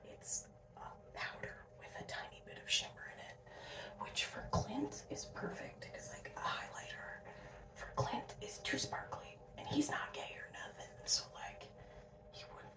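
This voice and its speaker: A sleep-deprived young woman from Alberta, rambling in a soft whisper with asides and little laughs as she does her drag makeup. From Alberta, Canada. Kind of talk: casual whispered makeup tutorial. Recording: roomy, mic set at a distance.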